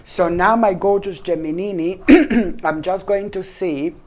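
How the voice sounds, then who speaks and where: Tarot reader, casual reading to camera, chatty, rambling, restarts sentences, mid-pitched voice. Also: steady tone, measured pace. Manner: repeatedly addresses viewers with a pet name.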